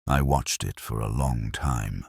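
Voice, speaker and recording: A man narrating a first-person detective story in a measured, brooding, literary manner. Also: rumbling low, creaky, husky, no room sound, clean studio sound. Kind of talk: scripted first-person audio drama narration.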